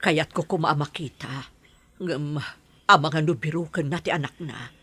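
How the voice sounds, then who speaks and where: A woman with an Ilocano accent playing a worried middle-aged mother in an audio drama, in a husky voice. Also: deep voice, normal speed, bright, forceful tone, no echo, exaggerated voice. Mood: troubled. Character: worried mother guarding her adopted child.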